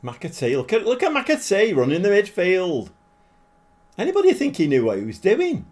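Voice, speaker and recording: A man live-streaming a football management game, chatting casually with viewers, self-deprecating and quick to get excited. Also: sits high.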